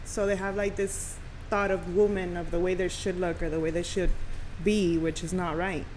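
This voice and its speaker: A woman's voice: on the low side, little pitch movement, moderate pace, a little mellow, a little hiss or hum, rough recording. A woman whose first language is Spanish, a radio presenter chatting informally as a guest. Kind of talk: casual chat.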